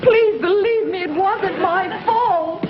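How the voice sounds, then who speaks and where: A woman acting as a pleading wife in a 1960s TV sitcom, with plaintive, slow melodrama. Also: very high-pitched. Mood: tearful pleading. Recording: muffled, noisy 1960s TV soundtrack.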